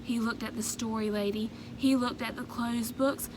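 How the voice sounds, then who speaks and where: A woman reading a children's storybook aloud in an expressive, measured narrating manner. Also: fairly high pitch, noisy background. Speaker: storybook reader.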